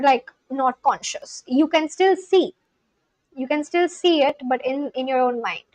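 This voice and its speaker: Excitable high-voiced young woman streamer. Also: no echo, low-quality recording. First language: Bengali. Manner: excitable, gasps and exclaims. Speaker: live streamer learning Spanish. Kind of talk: casual live-stream chat reading comments.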